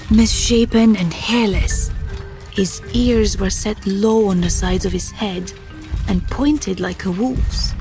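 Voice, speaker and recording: A woman's voice: medium pitch, darkish tone. A woman narrator reading a scripted fantasy story.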